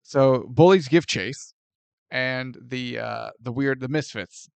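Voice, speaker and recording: A man co-hosting a casual film review chat, animated and jokey, often slipping into mock voices. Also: medium pitch, slow, creaky, clear, resonant tone, no room sound. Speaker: podcast co-host.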